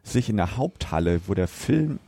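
Deep, mellow German man in conversation. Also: lively intonation, normal speed, creaky, dry recording. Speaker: podcast co-host. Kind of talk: casual film discussion chat.

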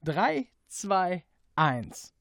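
A woman hosting a casual radio interview with quick-fire questions, giggling readily. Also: fairly low pitch, big pitch swings, vocal fry, very noisy. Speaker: radio host.

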